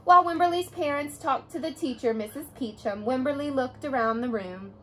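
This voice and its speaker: A young adult woman reading a children's picture book aloud, with expressive storytelling. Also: high voice, a little fast, a little hiss or hum.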